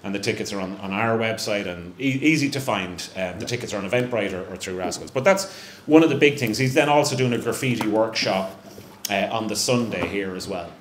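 A man's voice: fairly low pitch, a little fast. Chatty middle-aged Irish man on stage. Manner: chatty, self-mocking, many restarts. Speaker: brewery representative, guest. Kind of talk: casual onstage chat. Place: small live venue room with stage. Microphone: handheld mic on stand. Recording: echoey room, faint hum.

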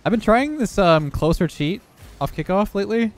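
A young man who has lost some of his voice, hoarse, giving relaxed running commentary over his own gameplay. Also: high voice, moderate pace, soft background music. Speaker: gaming YouTuber.

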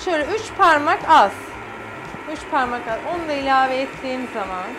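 A woman's voice: high voice, expressive, fairly quick, noisy background. A middle-aged woman, a guest chef on a studio cooking show, explaining each step as she works. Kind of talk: cooking demonstration. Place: TV studio kitchen set.

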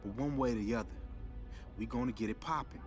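Dude voicing a slangy street hustler, cocky, music under. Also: male voice, medium pitch, fast talker, lightly acted. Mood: tender. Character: streetwise young hip-hop hustler on the run.

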